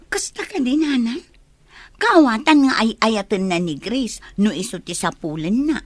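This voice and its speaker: A woman's voice: on the high side, animated, moderate pace, no room sound. A woman playing an anxious, questioning grown daughter in a Filipino audio drama.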